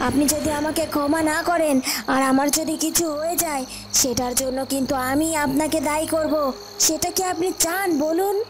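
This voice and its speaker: Over loud music, a woman plays a high-voiced cartoon witch. She frets and questions herself, then begs like an anxious disciple before her master, in melodramatic storybook fashion. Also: lightly acted. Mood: pleading. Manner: pleading, fretful, asking herself questions. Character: pleading, anxious old witch, disciple of a tantric. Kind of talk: melodramatic Bengali cartoon voice acting.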